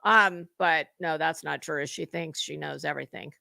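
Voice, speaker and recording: A woman hosting casual, opinionated gossip commentary, rambling and halting, with emphatic points and some mild swearing. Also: low voice, gravelly, pushed and bright, no echo, studio quality. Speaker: host of a gossip commentary livestream.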